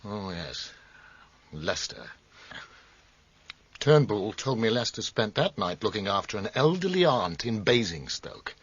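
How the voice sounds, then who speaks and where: An actor playing an earnest, anxious, concerned friend with emphatic delivery in a radio drama. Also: male voice, fairly low pitch, sing-song, a little slow, pauses often, fairly bright tone, breathy edge, no echo, exaggerated voice. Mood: jittery, incredulous. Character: jittery, concerned musician friend, urging help. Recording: dull, slightly muffled radio recording.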